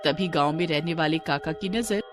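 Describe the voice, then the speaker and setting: A woman's voice: sits low, normal speed, soft background music. A woman giving scripted narration of a village horror tale as storyteller.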